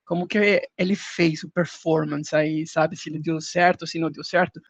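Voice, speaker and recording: Guest: Colombian man speaking Portuguese as a second language, high-pitched and gravelly, dry muffled sound. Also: flat delivery, moderate pace, dry recording. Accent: Colombian Spanish. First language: Spanish. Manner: explains with everyday comparisons, hesitant restarts. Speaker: podcast guest, index business executive. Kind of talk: casual explanatory talk.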